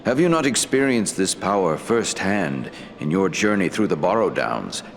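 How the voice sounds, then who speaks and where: A man playing a wise, ancient elf lord in a fantasy game, speaking gravely in formal, deliberate phrasing. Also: deep voice, very animated, slight background noise, exaggerated voice. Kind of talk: fantasy game dialogue acting. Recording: long hall reverb, faint music.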